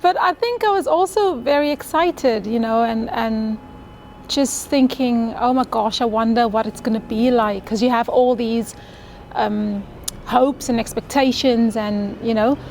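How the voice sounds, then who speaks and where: A woman's voice: on the high side, a little slow, darkish tone, a little hiss or hum. A woman from South Africa, Afrikaans her first language, with a South African accent, chatting in an interview and laughing easily. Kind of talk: recorded interview answers.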